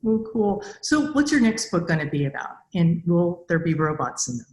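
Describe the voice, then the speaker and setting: A woman's voice: lowish voice, very animated, moderate pace, a little mellow. An American woman in her fifties casually hosting an interview podcast. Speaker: podcast host, author. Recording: roomy, echoey sound.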